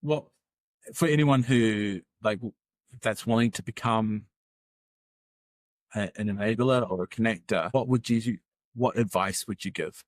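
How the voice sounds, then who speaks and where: A man hosting an interview, asking halting questions full of fillers. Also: fairly low pitch, no echo. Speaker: host.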